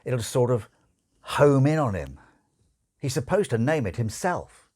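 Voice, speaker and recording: A man voicing a suave, sardonic male demon in an audiobook, urgent and brooding, with emphatic, drawn-out phrasing. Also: medium pitch, sing-song, darkish tone, pitched above the performer's own voice. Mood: explanatory, ominous.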